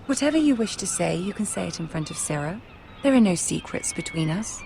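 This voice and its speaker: A woman playing a poised, shrewd young noblewoman in a video game, speaking in a measured, courteous, regal way. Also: lowish voice, animated, vocal fry, mellow, a little hiss or hum. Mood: reassuring. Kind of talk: cinematic video game voice acting.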